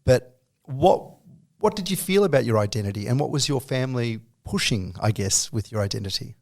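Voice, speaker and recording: A man hosting an interview podcast and asking gentle, probing questions. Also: medium pitch, expressive, fairly quick, vocal fry, no echo, studio quality. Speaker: podcast host.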